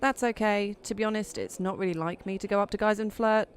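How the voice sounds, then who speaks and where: A woman's voice: medium pitch, quick pace, soft background music, lightly acted. A woman playing a guarded, sarcastic but kind young woman in an audio drama, speaking in a casual, wry way. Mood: friendly, apologetic.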